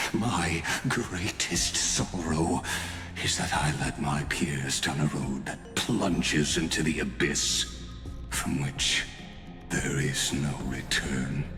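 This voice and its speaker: An actor playing an ancient, condescending genius villain in a video game, grave and theatrical, delivering coldly philosophical monologues with deliberate pomp. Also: male voice, extremely low pitch. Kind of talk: dramatic scripted video game voice acting. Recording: echoing voice over background music.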